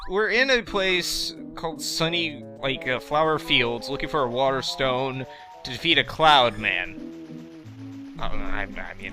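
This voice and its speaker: A young man casually commenting on a video game in a rambling, joking, self-mocking way, over game music. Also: medium pitch. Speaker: let's play host.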